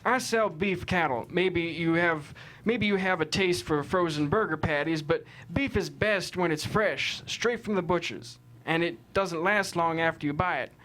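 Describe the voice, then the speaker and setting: A man's voice: a little high, moderate pace, a bit bright, lightly acted. A man playing an indignant, plain-spoken cattle rancher giving folksy, emphatic testimony in a courtroom drama table read. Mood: insistent.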